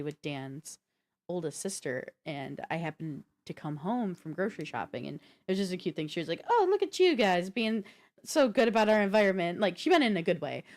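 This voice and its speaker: A woman with a notably low-pitched voice chats as co-host of a gaming podcast. Her delivery is casual and informal. She rambles a little, talking as if swapping personal stories with a friend. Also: a little mellow. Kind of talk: casual chat.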